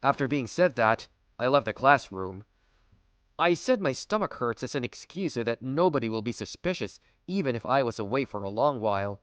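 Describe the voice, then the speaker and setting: A man's voice: medium pitch, very animated, moderate pace, a bit bright, smooth, dry recording. A man voicing a kind, self-assured teenage schoolboy who narrates his own story in an anime-style dub, earnest and a little smug. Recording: music bed behind voice.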